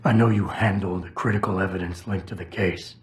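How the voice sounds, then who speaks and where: Low-voiced, deadpan augmented operative played by a man. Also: brisk, natural voice. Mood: cool, pressing. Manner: terse, deadpan, sardonic. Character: stoic, gruff cybernetically augmented male security operative, sardonic. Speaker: video game character. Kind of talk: cinematic game dialogue.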